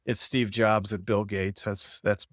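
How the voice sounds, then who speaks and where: A man's voice: lowish voice, flat delivery, a little slow, fairly bright tone, dry recording, clean studio sound. A man hosting a startup podcast, reading a polished intro and then chatting casually with his guest. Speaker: podcast host. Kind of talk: scripted intro then casual interview chat.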